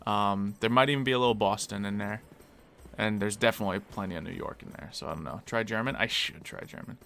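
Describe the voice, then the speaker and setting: A man's voice: fairly low pitch, fast talker, gravelly, a bit bright, a little hiss or hum. A man with a slight Philadelphia accent chatting casually on a live stream while he plays, musing aloud and laughing easily.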